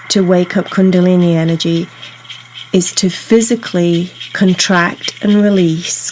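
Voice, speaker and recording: Woman doing guided meditation, lowish flat calm voice, slow soothing instructions, bit of background noise. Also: poor audio. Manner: soothing, unhurried, instructional with pauses. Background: soft ambient meditation music.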